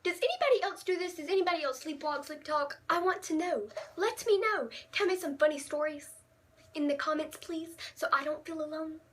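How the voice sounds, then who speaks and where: A woman's voice: sits high, fairly quick, a bit bright. A teenage girl telling funny stories to her vlog audience in a chatty, dramatic, self-mocking way. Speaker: vlogger. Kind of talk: casual storytime vlog.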